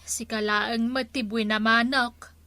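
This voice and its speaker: A woman playing an animated, superstitious village shopkeeper in a radio comedy drama, exclamatory and chatty. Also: a little high, unhurried, noisy background. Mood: sleepy, flustered.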